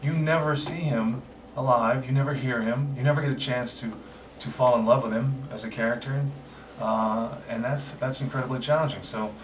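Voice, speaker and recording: A man from Oklahoma, a filmmaker guest speaking in a measured way with fillers in a panel interview. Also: mid-range voice, fairly even intonation, slight background noise. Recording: from a distance.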